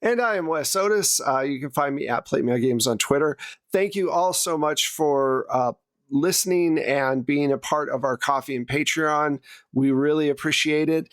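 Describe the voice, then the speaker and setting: A man with a somewhat high voice acts as game master for a tabletop roleplaying game. He narrates scenes and addresses players conversationally, shifting between storytelling and hosting. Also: normal speed, no room sound, studio quality. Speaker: game master and podcast host.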